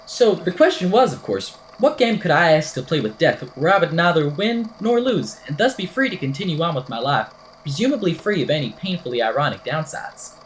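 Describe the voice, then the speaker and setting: An eccentric, whimsical podcaster played by a woman spins a supernatural tale for an audio drama. The voice sits fairly low and swings about in lively fashion, with wordy, theatrical, wry delivery. There is a little noise behind it. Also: a little fast, poor audio. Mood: storytelling, wry. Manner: verbose, theatrical, wry deadpan. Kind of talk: amateur audio drama, storytelling monologue.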